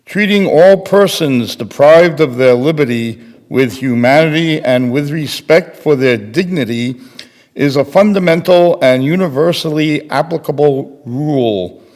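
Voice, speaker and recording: A man's voice: a little high, measured pace, darkish tone. An older man giving a formal scripted reading as part of a readers theater. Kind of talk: formal scripted reading of another person's statement. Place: synagogue sanctuary, handheld microphone at podium. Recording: reverberant hall, handheld microphone.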